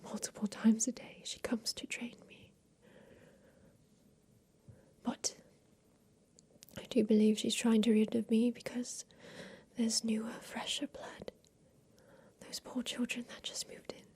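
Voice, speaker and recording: Woman acting tender creator in slow, breathy whisper. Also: on the high side, level tone, heavily acted. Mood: grave, reassuring. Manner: slow, gentle, soothing, with pauses. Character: tender, ailing female creator tending her mechanical creature. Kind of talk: whispered ASMR roleplay.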